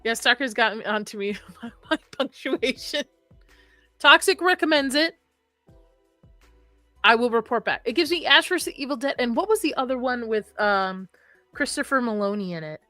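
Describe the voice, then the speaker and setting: Chatty bright-voiced woman streaming live. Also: a little high, lively intonation, moderate pace, no echo, soft background music. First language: Arabic. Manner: chatty, rambling, laughs often. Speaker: horror livestream host. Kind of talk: casual livestream chat reading comments.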